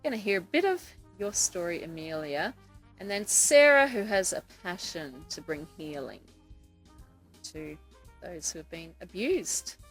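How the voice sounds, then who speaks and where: A woman's voice: on the low side, expressive, unhurried, a bit bright, soft background music, low-quality recording. A woman hosting a podcast, interviewing guests in a conversational way. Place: couch in a room in Nakasi.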